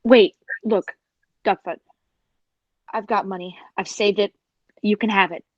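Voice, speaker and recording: A woman playing a jealous, cold-blooded circus performer in a 1940s radio drama, deliberate and scheming, on a muffled recording. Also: mid-range voice, steady tone, no room sound, lightly acted. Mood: defensive, scornful.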